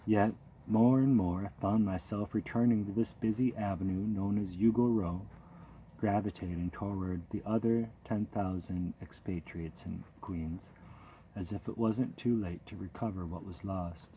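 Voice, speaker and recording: Low, mellow-voiced man reading a memoir. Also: a little hiss or hum, low-quality recording. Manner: measured, steady storytelling. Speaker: audiobook narrator. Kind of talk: first-person memoir reading.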